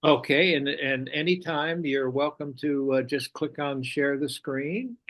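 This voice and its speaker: Guy hosting an online meeting, slow and halting, kinda high voice for a man, lots of uhs. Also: fairly bright tone. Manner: halting, with many uhs and repeated words. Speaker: meeting host introducing a speaker. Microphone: video call.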